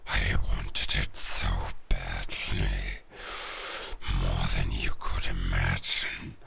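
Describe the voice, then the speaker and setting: A man voicing a weary, melancholic dying werewolf in an audio drama, speaking slowly and solemnly in a gravelly, breathy growl. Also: extremely low pitch, completely flat delivery, noisy background, full character voice. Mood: bitter, melancholic. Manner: slow, solemn, poetic. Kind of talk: slow dramatic audio roleplay monologue.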